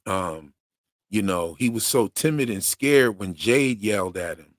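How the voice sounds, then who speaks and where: A man hosting a live stream, chatting casually with slang and long rambles, his voice going hoarse. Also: lowish voice, animated, measured pace, no echo, studio quality. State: losing his voice. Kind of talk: casual fan commentary.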